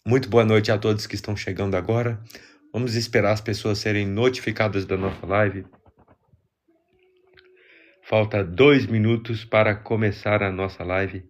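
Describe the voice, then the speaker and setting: Low-voiced Brazilian man with a cold. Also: fairly even intonation, normal speed. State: congested with a cold. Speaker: live stream host. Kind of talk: casual livestream chat, greeting viewers.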